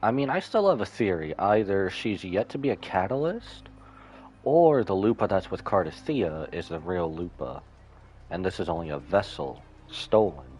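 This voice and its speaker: A man's voice: low-pitched, sing-song, normal speed, darkish tone, slight background noise. A man livestreaming a video game with casual commentary, musing aloud to himself as he plays. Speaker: livestreaming gamer. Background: game music.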